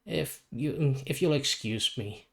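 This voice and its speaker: A man's voice: mid-range voice, takes it slowly, creaky. Storybook superhero lawman played by a man: stern, suspicious, blunt. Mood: subdued. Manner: blunt, challenging.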